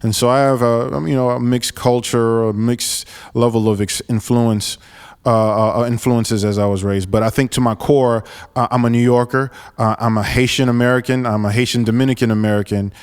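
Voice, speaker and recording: A man in his forties from New York hosting a solo podcast, talking earnestly and haltingly with frequent restarts and fillers. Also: medium pitch, fairly even intonation, smooth, big-room sound. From New York, raised in Florida. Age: 40s. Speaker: podcast host.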